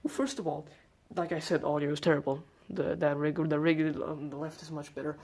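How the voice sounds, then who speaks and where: Hobbyist presenter, mid-pitched voice, mellow tone, informal, step-by-step gadget demo. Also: steady tone, a little fast, slightly rough. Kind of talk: casual hands-on gadget demonstration.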